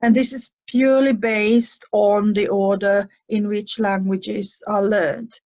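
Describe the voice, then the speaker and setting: A woman's voice: mid-range voice, flat delivery, slow, a little mellow, lo-fi sound. An older woman from with a slight cough, hosting a show and answering listener questions in a thoughtful, hesitant way with many fillers. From Finland. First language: Finnish and Swedish. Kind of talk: advice talk answering questions.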